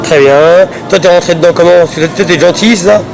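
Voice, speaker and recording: A man's voice: high voice, normal speed, creaky, over music, low-quality recording. A man hosting a street interview outdoors, asking chatty questions with lots of fillers.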